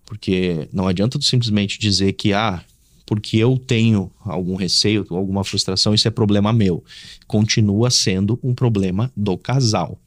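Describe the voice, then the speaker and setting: Male advice host, southern Brazilian accent, fairly deep voice, conversational and encouraging, recorded dry with no room sound. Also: normal speed, dry recording. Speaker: host giving relationship advice. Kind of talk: casual advice talk.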